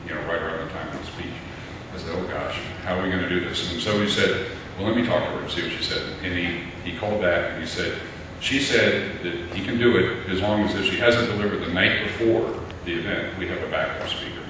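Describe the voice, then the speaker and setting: A man hosting an event, introducing the next speaker with a chatty anecdote. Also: deep voice. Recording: distant echoey hall recording with background noise.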